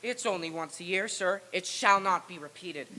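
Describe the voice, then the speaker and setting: A woman's voice: on the low side, normal speed, pushed and bright. A woman playing a meek, apologetic male clerk, hesitant and deferential, in an old-time radio drama reading. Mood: nervous apology. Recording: reverberant hall stage recording.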